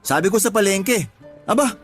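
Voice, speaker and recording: A fed-up young husband in a radio drama. His voice sits a bit high as he gripes in emphatic street-level speech about his pregnant partner. Faint music plays underneath. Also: animated, moderate pace. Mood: aggrieved venting. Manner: complaining, colloquial, emphatic. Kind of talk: Tagalog radio drama acting.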